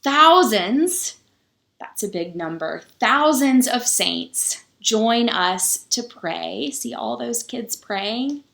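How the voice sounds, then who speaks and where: Woman, children's story-time reader. Unhurried, mid-pitched, explains simply to little ones. Roomy, echoing recording. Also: lively intonation, gravelly, fairly bright tone. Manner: addresses young children, explaining simply as she reads. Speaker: pastor reading a children's book.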